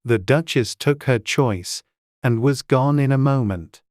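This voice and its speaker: A male audiobook narrator reading a classic storybook. His delivery is slow and measured, in a very dry recording without room sound. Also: mid-range voice, darkish tone, no echo.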